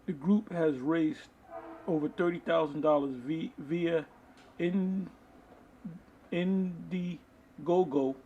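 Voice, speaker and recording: A man preaching informally online, reading aloud and commenting in a halting way, often restarting his phrases. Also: fairly high pitch, fairly even intonation, mellow, dull audio, slight background noise. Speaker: preacher reading articles and scripture to an online audience.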